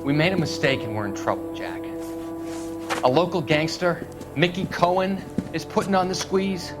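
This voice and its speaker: An actor playing an anxious young medical student who pleads earnestly for help. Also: male voice, a little high, normal speed, close to an everyday voice. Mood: pleading. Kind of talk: video game cutscene acting. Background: music score.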